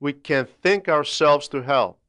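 A man with a slightly high, very clear voice reads a religious homily. He teaches in a deliberate, emphatic way, taking his time and pausing between phrases. The sound is dry, with no room around the voice. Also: a bit bright, no room sound. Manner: deliberate, emphatic, didactic with measured pauses. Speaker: translator reading a homily aloud. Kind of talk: religious homily, read aloud.

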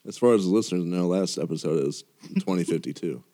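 A man's voice: deep voice, fast, dark tone. A man co-hosting a casual podcast with wry, joking banter. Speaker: podcast co-host.

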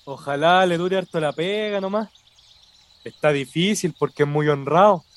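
A man's voice: a little high, normal speed, close to an everyday voice. A man with a Chilean accent acting in a radio drama as a respectful, superstitious cemetery worker. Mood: matter-of-fact. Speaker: actor.

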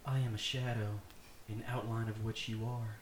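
A man's voice: fairly low pitch, little pitch movement, normal speed. Man reading aloud, distant and echoey. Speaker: podcast participant. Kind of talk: reading amateur poetry aloud. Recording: echoey, noisy room, distant from mic.

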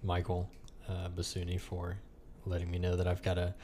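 A man's voice: deep voice, monotone, moderate pace, dark tone. A man hosting a casual podcast, chatting in a joking, sarcastic way with his co-host. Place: room with another person.

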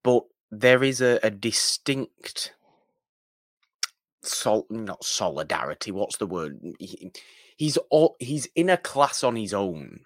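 A man co-hosting a film podcast, chatting casually and haltingly, repeating and rephrasing his points for emphasis. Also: on the low side, big pitch swings, no echo.

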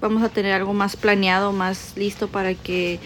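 A woman's voice: mid-range voice, little pitch movement, moderate pace, fairly bright tone, slight background noise. Chatty young woman with a Guadalajara accent. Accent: Mexican Spanish from Guadalajara. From Mexico, Jalisco. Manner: chatty, informal. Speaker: podcast co-host. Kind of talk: casual chat. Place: kitchen break room.

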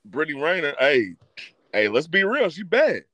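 An American man with a slightly high voice, recorded dry and close. He banters casually as a talk show co-host, slangy and animated, dropping in interjections as he goes. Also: normal speed, dry recording.

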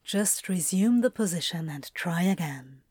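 A woman voicing a male demon yoga instructor in an audio fan fiction drama, soft-spoken and coaxing, patient but exasperated. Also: lowish voice, expressive, a little slow, breathy edge, no echo, studio quality.

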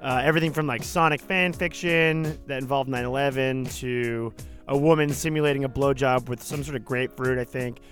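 A man's voice: mid-range voice, steady tone, a little fast, a bit bright, faint music behind. A man co-hosting a podcast, bantering in a sarcastic, jokey way. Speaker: podcast co-host. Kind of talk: casual comedic podcast banter.

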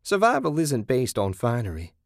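A man's voice: mid-range voice, wildly swooping pitch, normal speed, creaky, no room sound, voice pushed up. A man performs a cruel woman from a horror audiobook, the voice lifted well up. She is folksy and self-righteous, sneering as she lectures with syrupy condescension. The sound is dry and close. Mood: scornful preaching.

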